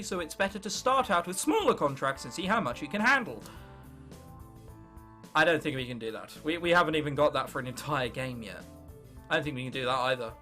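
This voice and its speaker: A man's voice: high-pitched, pushed and bright. A young man of about nineteen with an English accent, giving casual, jokey gaming commentary and reading game text aloud. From England. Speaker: gaming video host.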